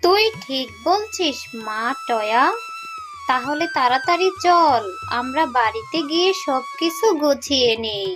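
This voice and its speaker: A woman's voice: sits high, lively intonation, a little slow. A woman voicing a caring, humble mother fox in a cartoon, tender and earnest in manner. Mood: urgent, caring. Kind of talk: children's cartoon voice acting. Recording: music behind the voice.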